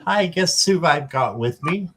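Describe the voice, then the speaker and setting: A man in his fifties hosting a casual livestream, greeting viewers by name and filling pauses with ums. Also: fairly high pitch, animated, fairly bright tone, a little hiss or hum. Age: 50s. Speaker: livestream host.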